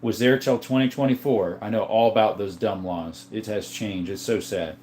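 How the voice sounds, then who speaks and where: A man hosting a casual livestream from a room full of guns, reading viewer comments aloud in a relaxed, joking way. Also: on the low side, normal speed, a little hiss or hum. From Arizona. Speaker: livestream host reading chat. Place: indoors, room with guns on display.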